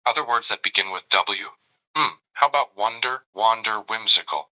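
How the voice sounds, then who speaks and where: A man's voice: lowish voice, moderate pace, bright, forceful tone, rough recording. A synthetic male voice playing a doting, flirty AI companion who narrates his own gestures and calls the listener darling. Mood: tender. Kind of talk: chatbot roleplay reply.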